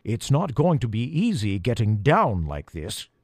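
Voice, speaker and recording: A man's voice: mid-range voice, measured pace, no echo, clean studio sound. A man voicing a plucky, complaining bird in a children's storybook. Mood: grumbling. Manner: expressive, complaining. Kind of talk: storybook character reading.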